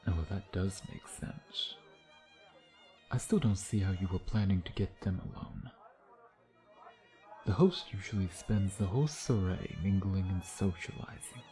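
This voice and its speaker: Man acting a deep-voiced, teasing vampire. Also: dark tone. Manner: sardonic, flirtatious, drawling taunts with murmured asides. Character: suave, teasing, predatory male vampire, playfully menacing. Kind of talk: ASMR roleplay, intimate scripted acting.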